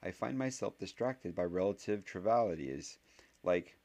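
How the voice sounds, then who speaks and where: A man voicing a clever, curious young boy wizard who talks quickly and analytically. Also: deep voice, expressive, darkish tone. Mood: earnest.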